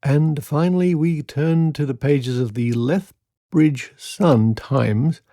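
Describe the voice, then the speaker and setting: Gravelly, mellow male podcast host. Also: a little high, slow pace, no room sound. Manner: dry, wry asides between readings. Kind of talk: reading old newspaper clippings aloud.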